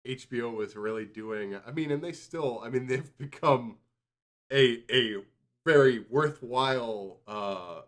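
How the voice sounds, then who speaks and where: A man's voice: medium pitch, unhurried. Casual, rambling male podcast reviewer. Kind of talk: casual chat.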